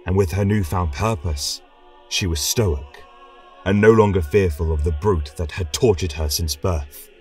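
A man narrating a scripted fantasy tale as a podcast storyteller, solemn, dramatic and measured. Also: low-pitched, darkish tone, slightly rough, recorded from a distance. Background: choral music.

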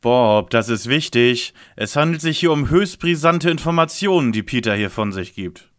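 A man's voice: mid-range voice, animated, normal speed, a bit bright, lightly acted. An actor playing a pompous, brainy boy detective with bookish diction in a parody audio drama. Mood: self-important.

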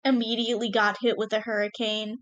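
A woman's voice: fairly high pitch, level tone, fairly quick, fairly bright tone, no room sound, lo-fi sound. A young woman co-hosting a podcast in casual banter, playful and given to mock-dramatic jokes. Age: young adult. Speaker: podcast co-creator and host. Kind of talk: casual banter and thank-you message.

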